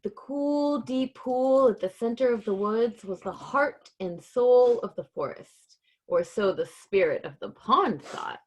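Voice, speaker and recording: A woman's voice: on the high side, animated, a little slow, a little mellow, poor audio. A woman hosting a live read-along, chatting casually and playfully and reading a storybook aloud.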